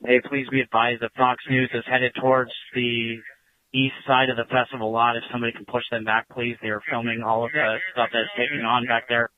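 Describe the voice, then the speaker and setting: A man's voice: medium pitch, little pitch movement, a little fast. A man speaking as a police officer, giving an advisory over a police radio. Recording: narrow, tinny police radio transmission.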